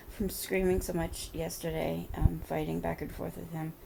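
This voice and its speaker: A hoarse woman in her thirties talking at home in a halting, rambling vlog, full of fillers. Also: lowish voice, flat delivery, background noise. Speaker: vlogger. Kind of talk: personal confessional vlog.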